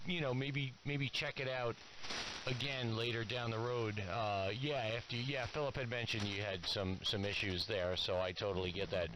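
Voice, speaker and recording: A man's voice: medium pitch, fairly even intonation, normal speed. Chatty man on hissy AM radio. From New York, USA. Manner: chatty, rambling. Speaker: amateur radio operator. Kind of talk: casual ham radio exchange. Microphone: transmitted over AM shortwave radio. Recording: hissy AM shortwave radio transmission.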